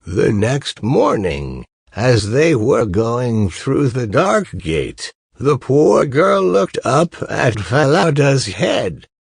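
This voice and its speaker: A man reading a fairy tale as a storyteller, in a measured, deliberate, old-fashioned storybook delivery. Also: medium pitch, very animated, vocal fry, slightly rough, dry recording, clean studio sound. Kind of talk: slow scripted audiobook narration of a fairy tale.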